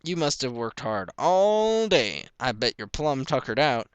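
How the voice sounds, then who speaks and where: A man's voice: mid-range voice, sing-song, measured pace, smooth, dry recording, pitched above the performer's own voice. Man acting kindly country dad pony, raised voice. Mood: proud. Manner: folksy, fatherly. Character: good-humored country father pony, gentle and indulgent.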